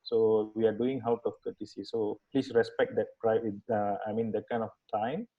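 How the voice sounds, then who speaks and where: A man's voice: fairly low pitch, steady tone, normal speed. A man hosting an online webinar, talking casually in a rambling way with many fillers and restarts. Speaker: webinar host. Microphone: video call. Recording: dull video-call audio.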